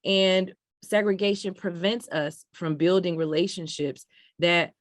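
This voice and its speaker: A woman's voice: lowish voice, unhurried, no echo, studio quality. A woman speaking as a panelist on a video call, reflective and building her point step by step.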